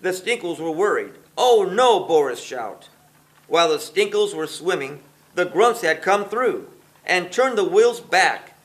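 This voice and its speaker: A man reading a rhyming children's story aloud in an expressive, sing-song rhythm. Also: a little high, measured pace, low-quality recording. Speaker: storybook reader.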